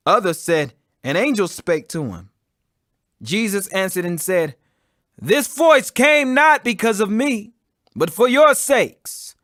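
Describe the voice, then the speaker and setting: A man reading the Bible aloud as a dramatic audiobook, declamatory and expressive, with emphasis on quoted speech. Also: sits high, slow, no echo, clean studio sound. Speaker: scripture reader.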